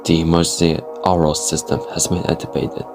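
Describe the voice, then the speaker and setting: A man's voice: low-pitched, level tone, moderate pace, over music. A man reading a scripted emergency broadcast announcement in a formal, solemn manner.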